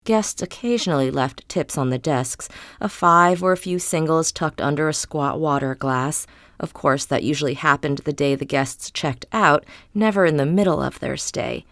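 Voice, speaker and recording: A woman reading literary fiction as an audiobook narrator, in a measured, dramatic storytelling manner. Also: low voice, fairly bright tone, no echo, clean studio sound.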